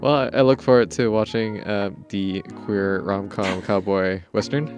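A man's voice: on the low side, steady tone, normal speed, a little mellow, soft background music. A man speaking as a podcast host, in the conversational style of an interviewer. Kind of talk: conversational interview and scripted credits.

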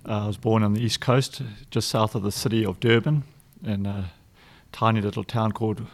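Man, South African accent, low voice, gravelly, anecdotal, hesitant restarts. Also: steady tone, normal speed. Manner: warm anecdotal, frequent ums and restarts. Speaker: guest, charity executive director. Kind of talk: relaxed storytelling interview.

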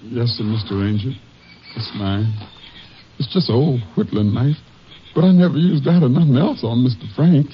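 An actor playing a humble, honest old farmhand with a Southern accent, husky, halting and deferential, in a 1950s radio drama. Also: male voice, mid-range voice, slight background noise, lightly acted. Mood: solemn protest. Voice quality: husky, gravelly. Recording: dull old radio broadcast.

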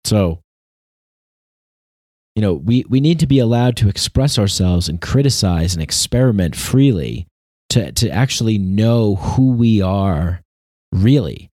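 Male commentator, lowish pitch, dry close sound; earnest off-the-cuff opinion talk with halting, repeated phrases. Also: animated, mellow, dry recording. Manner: halting, repetitive, searching for words. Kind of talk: unscripted opinion monologue.

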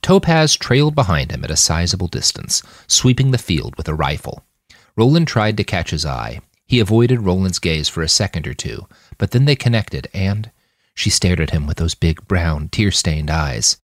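Deep-voiced man narrating with wide swings. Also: very animated, normal speed, dry recording, studio quality. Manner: dramatic, acts out character dialogue. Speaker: author reading his fiction podcast. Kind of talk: scripted audiobook narration.

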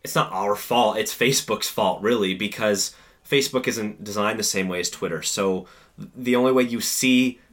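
A man's voice: lowish voice, normal speed, fairly bright tone. A man co-hosting a podcast, chatting casually and giving emphatic opinions. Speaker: podcast co-host. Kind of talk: casual chat.

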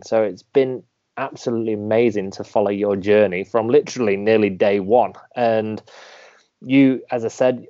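A man's voice: lowish voice, warm tone, muffled recording. A man hosting a podcast, introducing his guest with enthusiasm and casual fillers. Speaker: podcast host. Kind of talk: casual interview.

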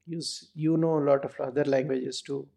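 A woman's voice: deep voice, fairly even intonation, normal speed, vocal fry, warm tone. A woman hosting a podcast asks plain, short leading questions of her guest. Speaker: podcast host. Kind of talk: interview questions.

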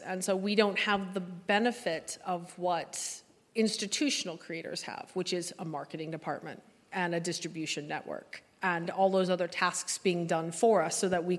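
In a reverberant hall, a woman with a fairly low voice speaks as a panel guest. She gives advice emphatically and in clear steps, repeating the key points. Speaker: panel guest and independent creator. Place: live panel in a reverberant room. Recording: echoey hall with long reverb.

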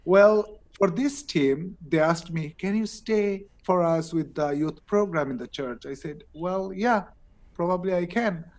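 A man's voice: high voice, a little slow, a little mellow. A man with a Bangladeshi English accent, telling his story as a guest in a casual interview and laughing between points.

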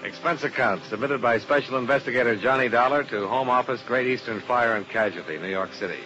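An actor playing a laconic insurance investigator in a 1950s radio drama, dry and matter-of-fact, on a muffled old broadcast with music. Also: male voice, medium pitch, brisk, natural voice. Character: wisecracking male insurance investigator, dry and unflappable. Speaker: lead detective and narrator.

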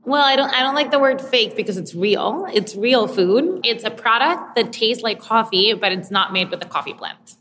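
A woman's voice: on the high side, lively intonation, moderate pace, few pauses, a bit bright, slight background noise. A woman from Costa Rica answering conversationally as a startup founder and interview guest. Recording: long reverberant room sound.

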